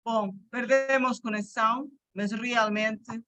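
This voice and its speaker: A woman's voice: on the high side, steady tone, slow pace, fairly bright tone. A woman hosting an online session as a teacher, effusive in her praise and talking over a video call. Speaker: host and Portuguese teacher.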